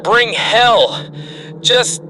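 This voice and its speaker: Desperate, high-strained man performing a wounded survivor sending a distress call in a zombie radio play. He pleads urgently in ragged, broken phrases over clear background noise. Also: very slow, sharp tone, exaggerated voice, poor audio. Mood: desperate pleading. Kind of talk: post-apocalyptic audio drama distress call.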